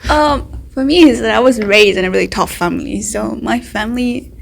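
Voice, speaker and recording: Young woman, high creaky voice. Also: lively intonation, a little fast. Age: young adult. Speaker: student interviewee. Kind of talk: casual chat.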